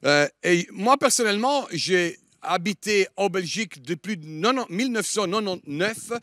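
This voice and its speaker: A man's voice: sits high, expressive, a little slow, a bit bright, dry recording, studio quality. A middle-aged Italian man preaching a sermon in French, with an Italian accent touched by a Liège accent, often hesitating with "euh" as he searches for words. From Italy. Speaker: guest preacher.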